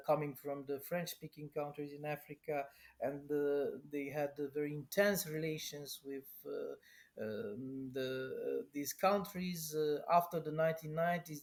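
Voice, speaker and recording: A middle-aged man, a European studies professor answering interview questions carefully and haltingly, with frequent hesitations. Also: mid-range voice. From Romania.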